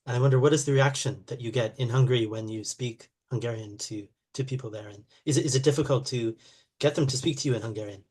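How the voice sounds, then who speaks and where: A man from the audience asking the speaker a follow-up question, careful and slightly halting. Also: mid-range voice, darkish tone, breathy edge.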